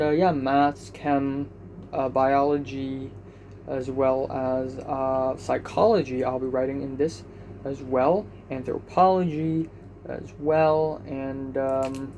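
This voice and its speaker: A man's voice: on the high side, darkish tone, a little hiss or hum. Rambling young man vlogging at home. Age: young adult. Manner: rambling, self-mocking, chatty. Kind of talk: casual diary vlog.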